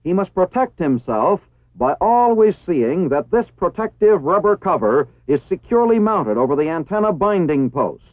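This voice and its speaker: A man with an American accent reading formal, scripted instructional narration in a measured, precisely enunciated way. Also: high-pitched, very animated, dry recording. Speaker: training film narrator. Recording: tinny phone-like 1960s film soundtrack.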